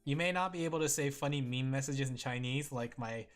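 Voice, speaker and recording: A man's voice: mid-range voice, brisk, faint music behind. A man streaming and chatting casually with his viewers, rambling with lots of fillers and frequent giggles.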